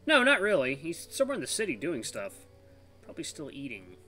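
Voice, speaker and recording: A man's voice: fairly high pitch, huge pitch swings, a little fast, pushed and bright, soft background music, pitched above the performer's own voice, heavily acted. A man voicing a modest, hesitant young ice cream trainee in a visual novel read-aloud. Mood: casual.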